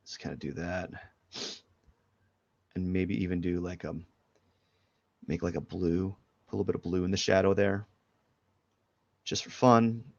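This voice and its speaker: A man with a cold talks in a low, gravelly voice, sniffling as he rambles loosely through his drawing steps. The sound is dry and dull, like lo-fi stream audio with no room echo. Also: fairly even intonation, measured pace, long pauses. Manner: loose and rambling, many fillers. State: has a head cold, sniffling. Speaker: artist streaming a drawing session. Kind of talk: casual live drawing commentary.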